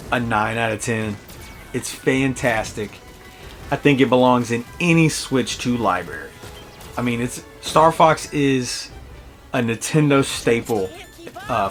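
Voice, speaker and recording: A man in his early forties giving a casual, conversational video game review in a halting, reflective way. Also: medium pitch. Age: early 40s.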